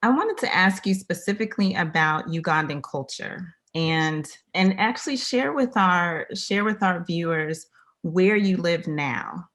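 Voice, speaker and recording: A woman's voice: fairly low pitch, lively intonation, measured pace, fairly bright tone. A woman hosting an interview, asking questions and affirming the answers in an encouraging way. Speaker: interviewer host.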